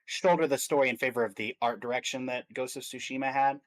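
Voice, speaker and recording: A man's voice: medium pitch, steady tone, quick pace, rough recording. A man co-hosting a stream, chatting casually about video games and thinking aloud as he goes. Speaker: stream co-host.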